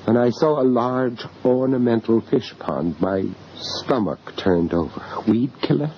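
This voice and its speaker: A man acting a haunted, anxious British art dealer, narrating a radio horror tale with grave, halting dramatic delivery. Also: medium pitch, close to an everyday voice. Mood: mounting dread. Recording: dull, noisy old radio recording.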